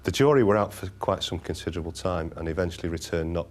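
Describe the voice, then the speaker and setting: A man's voice: low-pitched, fast, darkish tone. A man from the prosecution side, recalling a case in a documentary interview.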